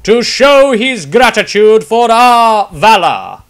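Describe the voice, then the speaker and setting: A veteran captain in his fifties rallies his people in a fantasy story, acted out by a male narrator. The voice is raised very high, loud and declamatory. He speaks in grand, proud, drawn-out phrases like a public orator. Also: very animated, heavily acted. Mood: proud, rallying.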